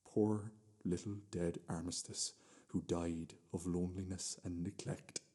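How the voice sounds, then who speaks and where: An actor playing an obsessive, self-abasing fifty-year-old husband in a radio play, repeating himself insistently and stammering. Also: male voice, low-pitched, steady tone, measured pace, warm tone, breathy edge. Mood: hushed, mournful insistence.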